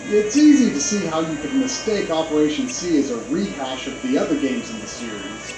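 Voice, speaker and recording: A man's voice: fairly high pitch, lively intonation, a little fast. A man narrating a scripted video game review in a conversational, opinionated way, with casual asides. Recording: roomy, played back with game music behind.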